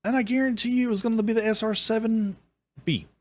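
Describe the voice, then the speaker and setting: A man with a deep, bassy voice giving sarcastic, ranting commentary on another streamer's clip. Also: steady tone, quick pace. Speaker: commentary host. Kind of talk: reaction commentary.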